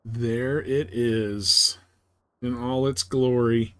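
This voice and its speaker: A man streaming a video game, with a medium-pitched voice. He talks casually and in a laid-back way, thinking aloud in short fragments as he plays. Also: fairly even intonation, extremely slow, fairly bright tone, clear, resonant tone, poor audio. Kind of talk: casual live gameplay commentary.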